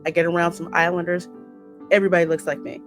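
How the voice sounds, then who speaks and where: A woman's voice: lowish voice, level tone, fast. An American woman hosting gameplay, reading game text aloud and commenting in a chatty, colloquial way. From United States. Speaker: gameplay host. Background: faint game music.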